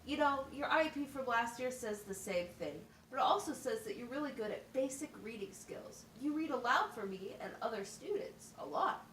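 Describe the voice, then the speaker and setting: A woman's voice: a little high, lively intonation, moderate pace, few pauses, a bit bright. A woman voicing an encouraging, methodical teacher in a scripted puppet show, explaining things plainly and asking questions. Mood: encouraging, instructive. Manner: instructive, question-led, plain wording. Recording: roomy, distant mic, faint noise.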